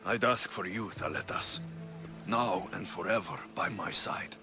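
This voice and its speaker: An actor playing a rugged, confident mercenary in a video game, blunt and wry. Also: male voice, low voice, measured pace, music in the background. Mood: tender, teasing. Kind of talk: video game cutscene acting.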